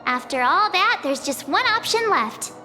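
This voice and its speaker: Perky teen game girl, high bright voice, sheepish, casual, long reverb. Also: big pitch swings, measured pace, heavily acted. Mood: casual, resolved. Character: perky teenage girl adventurer, sheepish, easygoing. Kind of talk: video game voice acting. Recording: long hall reverb, game music behind. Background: game music.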